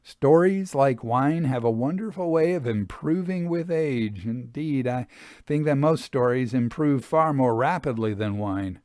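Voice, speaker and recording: A man narrating an old-fashioned literary story in a measured, expressive storytelling manner. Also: medium pitch, no room sound, studio quality. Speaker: audiobook reader. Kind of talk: scripted literary narration of a Victorian travel memoir.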